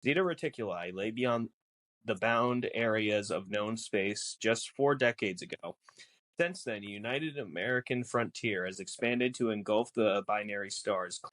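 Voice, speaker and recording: A man's voice: on the low side, measured pace, a bit bright, no echo, poor audio. A man guesting on a podcast, explaining film lore casually with theatrical, mock-exasperated banter.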